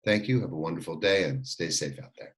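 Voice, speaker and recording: A man's voice: low voice, a little fast. A man hosting a scripted school announcement and introducing segments. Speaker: announcement host.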